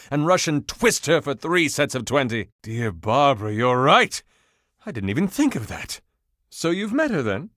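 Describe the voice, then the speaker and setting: An actor playing a flamboyant, excitable washing machine in a video game, grandiose, romantic and melodramatic in delivery. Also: male voice, a little high, no room sound, studio quality, full character voice. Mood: gushing. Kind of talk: theatrical video game voice acting.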